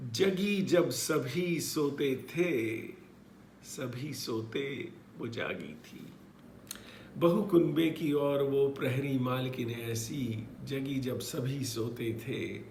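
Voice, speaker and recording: A man recites his own verse at an unhurried, deliberate pace, repeating lines and moving between loud declaiming and soft asides. His voice sits at an ordinary pitch and rings in an echoey room. A faint background hiss runs underneath. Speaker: poet. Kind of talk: poetry recitation with spoken asides.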